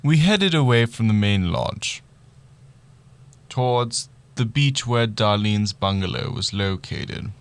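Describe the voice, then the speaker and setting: A man acts out an oblivious, bumbling detective telling his murder mystery as a radio play. The voice is on the low side and gravelly, with theatrical, swooping intonation. It is recorded very dry. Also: expressive, slow. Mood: matter-of-fact narration. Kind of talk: radio play narration and dialogue.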